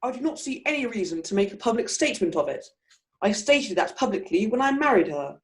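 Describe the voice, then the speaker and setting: A woman's voice: fairly high pitch, sing-song, fairly quick. A young woman playing an affable, easily flustered society husband in a stage play, baffled and exclamatory. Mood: indignant. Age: young adult.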